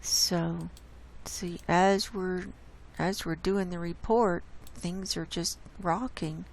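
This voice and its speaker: A hoarse woman hosting her own channel, walking casually through earthquake maps on screen and pointing out each spot with an unhurried, gravelly delivery. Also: lowish voice, fairly even intonation. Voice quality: gravelly, coughs and clears throat. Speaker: online science channel host. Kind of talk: casual screen-share data report. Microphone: desk mic.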